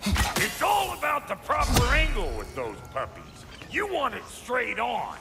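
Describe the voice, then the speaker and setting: An actor playing a gruff, foul-mouthed dwarf blacksmith in a video game, blunt and folksy, with a gravelly voice. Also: male voice, very high-pitched, huge pitch swings, unhurried, loud music behind the voice, heavily acted. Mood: upbeat, coaching. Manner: folksy, blunt, colorful cussing. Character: gruff, foul-mouthed, cantankerous little dwarf blacksmith, middle-aged man. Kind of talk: video game character acting.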